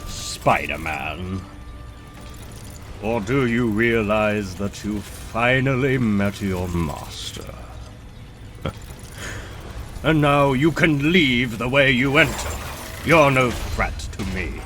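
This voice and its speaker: A man's voice: medium pitch, lively intonation, takes it slowly, pauses often, a bit bright, soft background music. A man playing a boastful, menacing mad-scientist supervillain in a dramatic comic book reading. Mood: gloating. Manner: grandiose, menacing, theatrical. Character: boastful megalomaniac supervillain scientist with mechanical arms.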